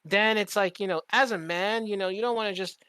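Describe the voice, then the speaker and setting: A man's voice: sits high, a little fast, bright, forceful tone, clear, resonant tone, dry recording. A man talking casually as a podcast co-host, rambling and candid, swearing freely. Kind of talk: casual chat.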